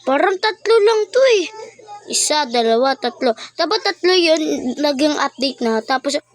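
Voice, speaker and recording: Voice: sits high, lively intonation, normal speed, a little hiss or hum. A Filipino speaker doing casual game playthrough commentary, chatty and exclamatory, addressing viewers directly. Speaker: gaming vlogger.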